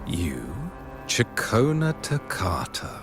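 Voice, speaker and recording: A man's voice: lowish voice, wildly swooping pitch, full character voice. Sneering, pompous male zealot. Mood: mocking. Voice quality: theatrical, sneering delivery with derisive laughter. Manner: pompous, slow and scornful. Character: contemptuous zealot male acolyte of a religious order, mocking. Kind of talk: dramatic video game voice acting. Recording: voice under loud game music.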